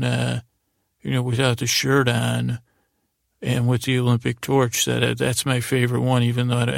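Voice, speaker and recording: A man hosting a podcast, delivering a rambling bedtime monologue in a gravelly voice, halting and often interrupting himself as he meanders along. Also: mid-range voice, no echo, studio quality. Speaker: podcast host.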